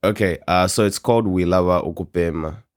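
A man's voice: sits low, fairly quick, no room sound, studio quality. A man from Zambia, a native Bemba speaker, chatting casually and candidly as a singer-songwriter being interviewed.

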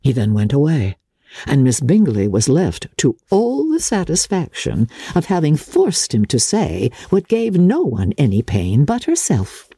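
A woman's voice: low voice, wildly swooping pitch, measured pace, warm tone, husky, no room sound, clean studio sound. A woman narrator giving a dramatic reading of classic literature.

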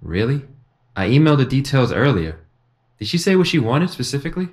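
An actor playing a confident, ambitious nineteen-year-old estate aide in a modern audio drama, speaking respectfully and earnestly. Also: male voice, mid-range voice, very animated, brisk, a little mellow. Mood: puzzled. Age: young adult. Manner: respectful, earnest, self-assured.

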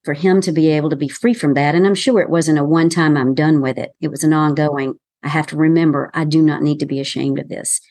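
A woman with a softened Southern American accent speaks in a low, even register. She explains communication skills in a measured coaching tone, and her voice sits close and dry with no room sound. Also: dry recording. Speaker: communication consultant guest. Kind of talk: professional podcast interview.

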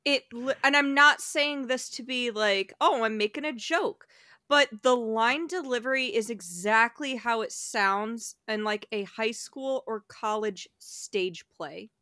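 Woman on a podcast, bright high-ish voice, blunt, dry sound. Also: measured pace, no room sound. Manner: blunt, expressive. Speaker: podcast co-host. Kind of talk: casual chat.

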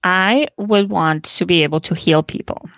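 A woman who grew up in Bosnia and Montenegro chats as a podcast guest in a slightly low voice. She laughs often as she talks, and her voice sounds dry and close. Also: moderate pace, a bit bright, dry recording. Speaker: podcast guest, interior designer. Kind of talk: casual conversation.

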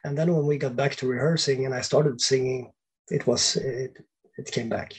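Husky-voiced man, halting thoughtful talk. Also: mid-range voice, fairly even intonation, poor audio. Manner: halting, thoughtful, restarts his sentences. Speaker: band singer, podcast guest. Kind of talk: casual interview chat.

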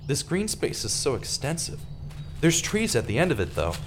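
An actor playing a distraught, self-blaming man who narrates his own story in a halting, repetitive, dramatic way, as in an audio drama. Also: on the high side, wildly swooping pitch, background noise. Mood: frustrated, weary. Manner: dramatic, halting, repeats himself. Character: distraught, self-blaming male radio man turned amateur pursuer. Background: music.

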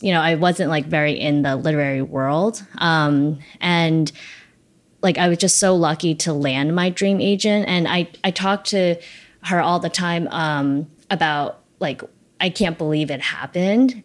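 Guest author, young woman, low voice, chatty and thoughtful. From born in China, raised in the United States. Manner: casual, many likes and ums, reflective. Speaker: debut novelist, guest. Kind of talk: relaxed interview answers.

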